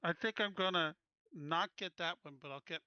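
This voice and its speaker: Guy at an rpg session, kinda high bright voice, dry dull lo-fi audio. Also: moderate pace, clean tone, dry recording. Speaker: player in a tabletop game. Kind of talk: casual chat.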